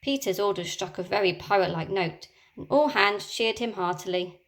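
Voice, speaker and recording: A woman teacher reading a children's storybook aloud, with expressive, dramatic storytelling. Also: mid-range voice, moderate pace.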